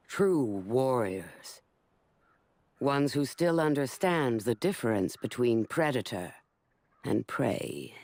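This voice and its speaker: A man playing a grim warlord narrator in a video game, solemn and measured, delivering dramatic scripted narration. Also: medium pitch, a little mellow, no room sound.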